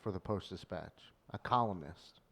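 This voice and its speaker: A man's voice: low-pitched, normal speed, creaky, fairly bright tone, husky. A man co-hosting a podcast, teasing and quizzing his friend in casual banter. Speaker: podcast co-host.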